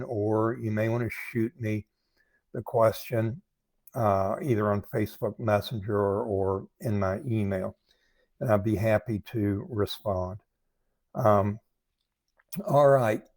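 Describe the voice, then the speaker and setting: A man hosts an informal livestream at a slow, halting pace. His voice is troubled by throat problems, and he speaks directly to his viewers. The sound is dry, with little room in it. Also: medium pitch, a little mellow, no room sound. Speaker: live stream host, recovery coach. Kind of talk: casual livestream announcements.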